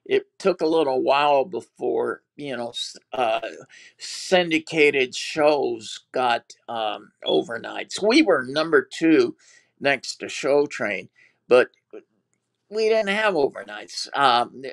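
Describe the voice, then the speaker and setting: A man's voice: mid-range voice, vocal fry, a bit bright. An older man with a Texas accent, a former TV producer, recalling his career in an interview with many fillers and restarts. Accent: Texan. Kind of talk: reflective interview talk.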